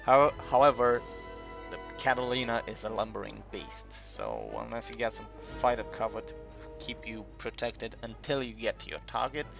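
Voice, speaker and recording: A man giving casual, unscripted game commentary in a halting, rambling way, as if thinking aloud while he explains things. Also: mid-range voice, expressive, creaky. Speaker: gaming video creator narrating a tutorial. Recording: dull lo-fi home recording, music behind.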